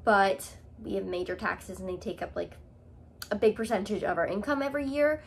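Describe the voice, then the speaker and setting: A woman's voice: mid-range voice, a little fast, vocal fry, slight background noise. A young woman from Canada with a Canadian accent, chatting casually to her camera as a vlogger, with lots of "um"s and "like"s. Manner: chatty, with many "um"s and "like"s.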